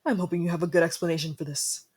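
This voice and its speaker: Breathy, haughty young ice prince, acted by a man. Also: fairly high pitch, little pitch movement, very fast, darkish tone. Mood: stern, formal. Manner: formal, imperious, accusatory. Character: haughty young winter prince, indignant and easily flustered. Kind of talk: ASMR fantasy roleplay acting.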